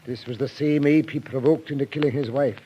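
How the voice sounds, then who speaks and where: An actor playing a grave, philosophical official in an old radio drama, speaking in a solemn, measured way. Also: male voice, mid-range voice, steady tone, highs cut off, lightly acted. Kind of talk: 1940s radio drama acting. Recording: old radio recording, faint noise.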